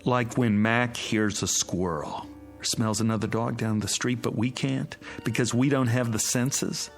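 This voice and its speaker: A man's voice: mid-range voice, fairly even intonation, measured pace, creaky, soft background music. An actor playing a weary, fond but exasperated suburban father in a naturalistic radio drama style. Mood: musing.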